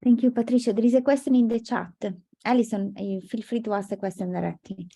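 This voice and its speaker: A woman's voice: on the high side, steady tone, fast, creaky, dark tone, slightly rough. A woman hosting an online seminar, thanking the speaker and relaying questions in an informal, spoken way.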